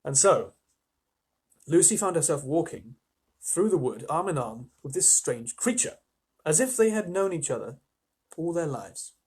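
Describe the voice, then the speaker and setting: A man reading a children's story aloud as an expressive storyteller, with casual asides to listeners. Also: on the high side, unhurried, pauses often, slightly rough.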